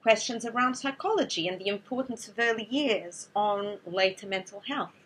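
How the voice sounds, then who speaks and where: A woman from Portugal, giving a measured, explanatory talk to students as a neuroscientist. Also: mid-range voice, a bit bright, breathy edge, rough recording. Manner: measured, explanatory, with a few restarts.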